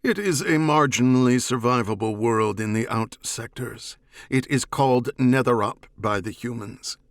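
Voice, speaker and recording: Man as a cool, measured alien enforcer. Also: medium pitch, dry recording, studio quality, natural voice. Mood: explanatory. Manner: measured, formal, unhurried. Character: cool, evasive alien oath-bound bounty hunter, quietly defiant. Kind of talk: audiobook character voice, science fiction.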